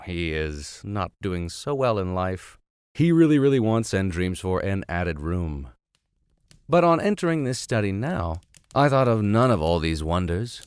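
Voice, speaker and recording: A man's voice: on the low side, very animated, unhurried, clean tone, dry recording, studio quality. A man hosting a reading of classic books, playful and sardonic, slipping between narration and offhand tangents. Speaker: host and reader of a classics podcast. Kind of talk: audiobook reading with comic tangents.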